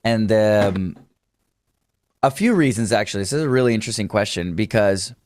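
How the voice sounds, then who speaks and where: A man's voice: fairly low pitch, lively intonation, normal speed, darkish tone, no echo. A man, chatting casually as a drummer guest on a video call, talkative and friendly with many fillers and restarts. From Houston, Texas. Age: late 20s. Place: home music studio room.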